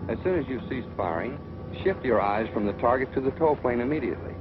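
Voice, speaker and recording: A man's voice: mid-range voice, animated, fast talker, natural voice. A man narrating a 1940s training film as a stern military flight instructor, authoritative, scolding and didactic. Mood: stern instructing. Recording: muffled noisy 1940s film soundtrack.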